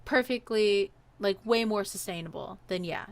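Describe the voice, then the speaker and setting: A woman's voice: medium pitch, normal speed, a crowd in the background. A woman telling a personal story in a casual podcast style, animatedly acting out what people said. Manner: animated, acts out quoted dialogue.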